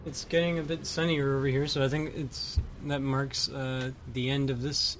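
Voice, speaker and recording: This man co-hosts a homemade radio show in a casual, wry way, dropping deadpan quips. His pitch is middling, with faint background noise behind him. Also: normal speed. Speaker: co-host. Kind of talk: casual chat.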